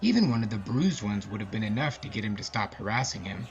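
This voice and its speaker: A man's voice: fairly low pitch, sing-song, fast talker. A man hosting a podcast and telling a scripted story in a casual narrator's style. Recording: slightly muffled, music behind. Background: music.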